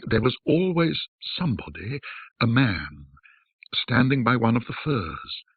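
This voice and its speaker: A man voicing a frightened, haunted man in a ghost story, agitated and halting as he confesses a terrible secret. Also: medium pitch, sing-song, slightly rough, no room sound, phone-quality sound, full character voice. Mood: haunted, confiding. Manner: agitated, hesitant, halting pleas.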